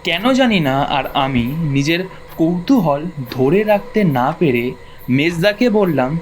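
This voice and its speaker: A man's voice: fairly high pitch, animated, measured pace, slight background noise. A man narrating a first-person ghost story from a script in a suspenseful, dramatic storytelling manner.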